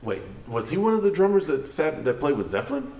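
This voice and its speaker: A man's voice: a little high, very animated, fast talker, a little mellow. A middle-aged man co-hosting a casual music chat, enthusiastic and playful. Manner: enthusiastic, playful, acts out quotes. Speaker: podcast co-host. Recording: echoey room.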